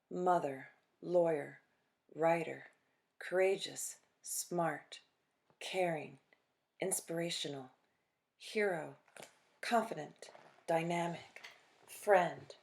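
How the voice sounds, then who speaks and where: A woman reads to children as a storytime reader, in a lowish voice and at a slow pace. Her delivery is expressive and deliberate, setting phrases apart with dramatic pauses. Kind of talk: expressive picture-book read-aloud.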